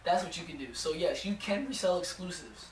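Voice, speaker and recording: A hoarse young man in his twenties giving blunt, profane advice to music producers in a casual home studio vlog. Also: a little high, lively intonation, brisk, roomy sound, distant mic, slight background noise, poor audio. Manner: blunt, swears freely, lots of "you know what I'm saying".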